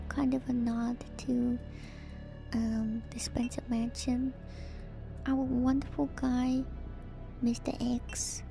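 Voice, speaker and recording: A woman streamer giving soft, breathy, often whispered ASMR commentary on a horror game, musing and pausing often to think, close to the mic. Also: a little high. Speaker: streamer, virtual YouTuber host.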